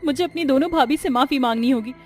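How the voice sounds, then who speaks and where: A meek young bride full of regret, played by a woman. Her voice is fairly high, emotional and apologetic, in the pleading manner of a cartoon family drama, over background music. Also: fast talker, natural voice. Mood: meek, regretful. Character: remorseful, meek young bride, once arrogant, now tearful.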